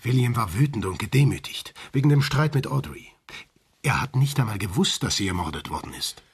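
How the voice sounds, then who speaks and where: A man playing a troubled, gentle middle-aged father in a radio play, with a husky, breathy voice. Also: medium pitch, lively intonation, a little fast. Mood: worried, gentle.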